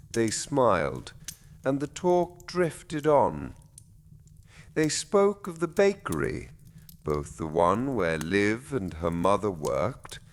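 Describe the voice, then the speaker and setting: Slow, low-voiced man narrating a bedtime story.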